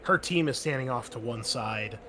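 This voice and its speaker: A man narrating as the game master of a tabletop session, pitched in the middle of the male range. He talks casually, stopping and restarting as he describes the scene. A faint noise sits under his voice. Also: bright, forceful tone. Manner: casual, halting narration with fillers.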